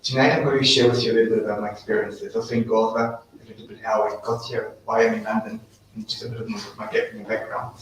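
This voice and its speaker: A man's voice: mid-range voice, fast talker, slight background noise. A man in his thirties with a South African accent, giving a personal talk as guest speaker to a live audience in an event room. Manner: earnest storytelling, animated when quoting others. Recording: echoey room, distant mic.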